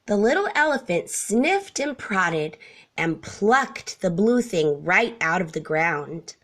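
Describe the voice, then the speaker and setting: Slow, expressive woman reading a storybook. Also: medium pitch. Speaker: storybook reader. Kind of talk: expressive children's read-aloud narration.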